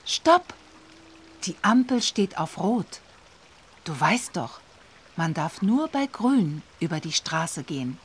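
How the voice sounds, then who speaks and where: A woman's voice: on the low side, big pitch swings, slow pace, long pauses, slightly rough, heavily acted. A woman voicing an encouraging, watchful guide in a children's game, emphatic and instructive. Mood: warning. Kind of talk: children's educational game voice-over.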